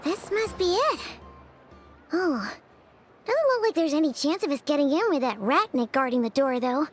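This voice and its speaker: Squeaky, excitable tiny fairy girl. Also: moderate pace, soft background music. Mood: curious. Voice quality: squeaky, childlike. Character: tiny floating fairy companion girl, excitable, childlike, outspoken. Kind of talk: video game voice acting.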